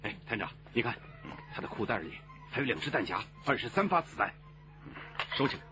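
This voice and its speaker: A man's voice: medium pitch, big pitch swings, a little fast, close to an everyday voice. A man playing a courteous, matter-of-fact police squad leader in a radio drama. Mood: businesslike, courteous. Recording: dull radio drama with noisy ambience.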